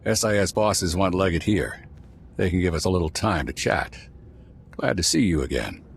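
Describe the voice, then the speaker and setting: A seasoned male squad leader in a spy game. His voice sits low, and he speaks plainly and sincerely with a folksy turn. Light ambient noise runs behind him. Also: lively intonation, normal speed, vocal fry. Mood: guarded, cordial. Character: weathered, plain-spoken male spy field team leader. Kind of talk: video game drama acting.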